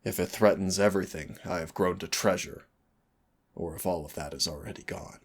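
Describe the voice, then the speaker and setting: A man voicing a gentle, affectionate demon prince in an intimate roleplay audio, husky and gravelly, speaking tenderly and without hurry. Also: low-pitched. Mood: solemn. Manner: tender, unhurried, wistful storytelling. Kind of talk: intimate roleplay audio acting, soft and soothing.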